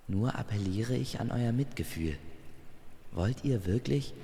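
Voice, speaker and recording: Man as a confident love goddess, regal, teasing, lifted pitch, slow measured delivery, fantasy audio drama. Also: gravelly, dark tone, long reverb tail, a little hiss or hum. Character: playful, self-assured goddess of love, female, commanding. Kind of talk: German fantasy audio story.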